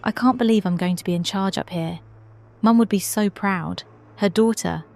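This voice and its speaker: An actress playing a young, modest and dutiful lieutenant who narrates her space station log in a reflective, measured way. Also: mid-range voice, lightly acted. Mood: quietly proud. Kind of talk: audio drama log monologue.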